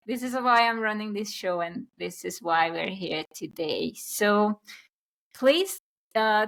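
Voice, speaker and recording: A woman hosting an interview podcast, framing her questions in an unhurried, hesitant way that repeats words. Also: medium pitch, a bit bright. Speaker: podcast host. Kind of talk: casual interview chat.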